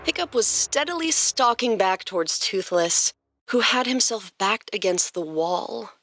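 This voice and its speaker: A woman's voice: medium pitch, animated, a little slow, a bit bright, no room sound, soft background music. A woman hosting a podcast and narrating a story expressively from a script.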